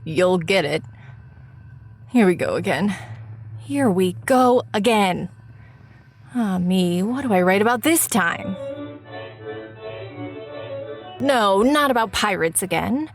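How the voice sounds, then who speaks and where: A weary, self-mocking writer in a radio play. She confides in the listener conversationally, with a slightly slow pace and a very animated, mid-pitched voice. Faint music plays behind her. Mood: weary, resigned. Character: wry, weary woman writer of supernatural stories. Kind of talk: audio drama acting, confiding monologue to the listener.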